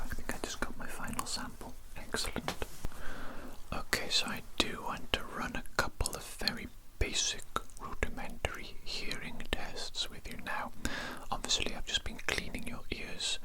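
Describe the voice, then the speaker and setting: A man playing a condescending, menacing evil doctor in an ASMR roleplay, whispering in a pompous, repetitive, deliberate way close to the microphone. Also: close to an everyday voice. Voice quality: whispered, unvoiced. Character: evil male doctor, condescending, menacing mad scientist kidnapper.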